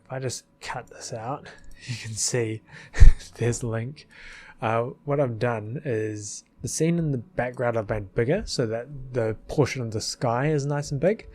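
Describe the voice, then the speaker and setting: A man's voice: medium pitch, unhurried, a little mellow. A man giving casual, rambling commentary as a video creator, thinking aloud in an informal, hesitant way. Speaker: video creator explaining his fan film edit.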